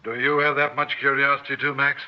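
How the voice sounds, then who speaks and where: A man's voice: medium pitch, flat delivery, brisk, close to an everyday voice. A man playing an arrogant, coldly superior scientist in an old-time radio drama, condescending and boastful. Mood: cool. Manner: condescending, pompous. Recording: muffled old radio recording.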